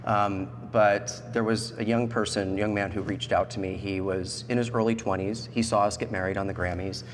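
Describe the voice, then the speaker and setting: Low-voiced American man in an echoey hall. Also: level tone, normal speed. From central Pennsylvania, United States. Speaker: interview guest. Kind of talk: personal storytelling in a talk show interview. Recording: echoey hall, background noise.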